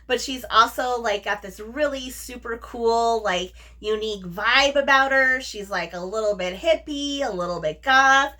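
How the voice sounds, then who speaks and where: Bright, high-voiced woman chatting about favorites. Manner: effusive, emphatic, informal with slangy asides. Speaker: book review vlogger, host. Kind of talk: casual book chat to camera.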